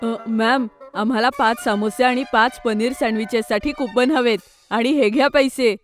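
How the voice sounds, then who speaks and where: A woman's voice: on the high side, steady tone, moderate pace, soft background music, close to an everyday voice. Woman as an eager young cartoon schoolboy. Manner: inquisitive, eager. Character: lively, food-keen young schoolboy, big brother. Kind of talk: children's cartoon voice acting.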